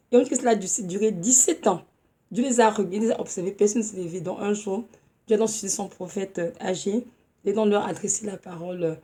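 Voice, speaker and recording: A woman in her thirties with a cold and a hoarse voice, preaching an earnest, halting home sermon with many pauses and restarts. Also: mid-range voice, warm tone.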